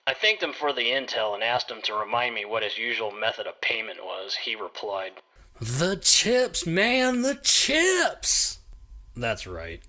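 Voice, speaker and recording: A man's voice: fairly low pitch, sharp tone. A man narrating a scripted first-person detective story in a hard-boiled storytelling manner. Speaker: narrator playing a private detective.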